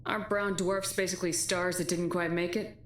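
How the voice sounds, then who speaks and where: An actress playing a tough, skeptical military commander in a video game, blunt and commanding. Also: female voice, on the low side, flat delivery, a little fast, a little hiss or hum, lightly acted. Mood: skeptical, challenging. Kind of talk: dramatic video game dialogue.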